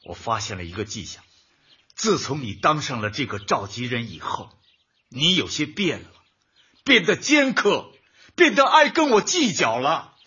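唠叨较真的丈夫，嗓音沙哑. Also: male voice, mid-range voice, sing-song, normal speed, sharp tone, breathy edge, heavily acted. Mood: aggrieved. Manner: scolding, bookish, uses proverbs. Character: fussy, pedantic, nagging husband, worried for his wife. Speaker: actor in a radio drama. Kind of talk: comic radio drama acting. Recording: dull lo-fi audio.

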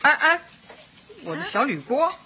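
A woman's voice: high voice, big pitch swings, a little slow, muffled recording, background noise. An actress playing an elderly, kindly community Party secretary in a radio drama, hearty, motherly and decisive. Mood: warm, fussing.

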